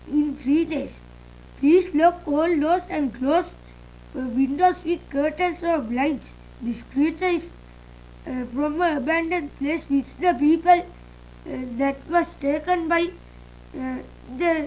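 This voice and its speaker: A woman reading an amateur emergency announcement in a halting, hesitant way. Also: high voice, a little mellow, a little hiss or hum, low-quality recording. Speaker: announcer in a fictional emergency broadcast. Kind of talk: amateur scripted public announcement.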